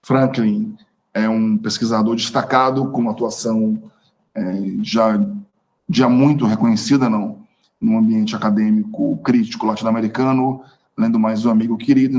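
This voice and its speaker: A man introducing a guest at an online academic seminar, halting often with fillers and self-corrections. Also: fairly low pitch, level tone, a little mellow, low-quality recording. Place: video call.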